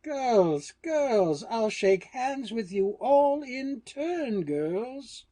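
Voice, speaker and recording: A fatherly, blustering theatre governor acted by a man in a period satirical audio play. His delivery is very drawn out and mellow, with big swoops of pitch. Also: sing-song, very slow, full character voice. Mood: flustered, jovial. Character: fussy, fatherly theatre boss, puffing and affectionate.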